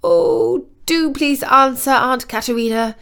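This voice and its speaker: A woman's voice: a little high, big pitch swings, moderate pace. A woman voicing an anxious, earnest young prince in a storybook, with old-fashioned exclamatory phrasing. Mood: pleading.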